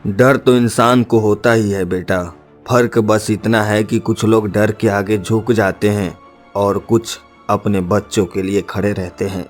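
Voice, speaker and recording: Male actor as protective village father, unhurried, earnest, brave, mid-pitched, cartoon drama, soft music underneath. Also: level tone, lightly acted. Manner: earnest, reassuring. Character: protective, poor woodcutter father, reassuring and brave.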